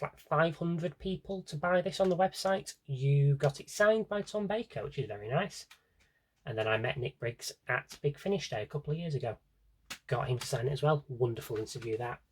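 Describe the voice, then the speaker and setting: Man chatting, very lively pitch swings. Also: slight background noise. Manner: enthusiastic, chatty, given to asides to himself. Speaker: video host showing a personal CD collection. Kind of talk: casual rambling show-and-tell chat.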